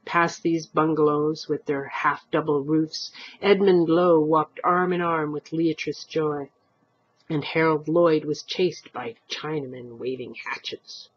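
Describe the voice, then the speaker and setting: A woman narrates a short story at an unhurried pace. Her voice is husky and moves expressively up and down. The recording is a little dull and lo-fi. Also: low voice, steady tone. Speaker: amateur story reader.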